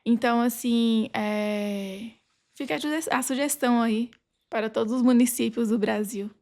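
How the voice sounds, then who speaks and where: A woman's voice: on the high side, steady tone, unhurried, darkish tone. A woman with a Brazilian accent speaking as a podcast guest, explaining earnestly in a conversational way. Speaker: podcast guest, legal advisor.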